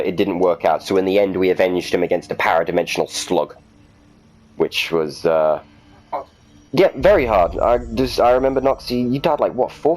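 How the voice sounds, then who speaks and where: A man's voice: sits low, darkish tone, poor audio. A man hosting a gaming video, chatting casually and reading documents aloud in a playfully self-mocking way. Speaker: gaming video host and reader.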